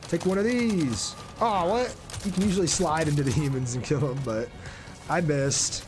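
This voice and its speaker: A man's voice: a little high, normal speed. A man giving casual gameplay commentary, thinking aloud in an informal way as he plays. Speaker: gaming video host. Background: game music and sounds.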